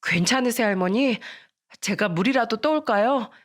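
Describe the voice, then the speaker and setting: A woman's voice: on the high side, expressive, normal speed, voice pushed up, natural voice. A woman voicing an earnest, worried teenage schoolgirl in a dramatized story, pleading and reproachful as a loyal friend. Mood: concerned.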